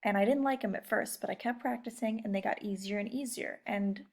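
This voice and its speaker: A woman's voice: mid-range voice, brisk. A young woman teacher chatting casually and encouragingly to children, often putting questions straight to her listeners. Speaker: teacher leading a read-aloud lesson.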